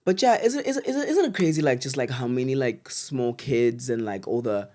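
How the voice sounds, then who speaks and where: A man's voice: mid-range voice, animated, normal speed, darkish tone. A man chatting casually as a podcast co-host, effusive and slangy, often repeating words for emphasis.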